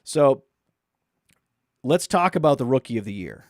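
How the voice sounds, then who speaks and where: A man from Maine with a mostly suppressed Maine accent, hosting a casual sports podcast, often repeating words and trailing off quietly. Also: mid-range voice, big pitch swings, a little slow, no echo, clean studio sound. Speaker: podcast host.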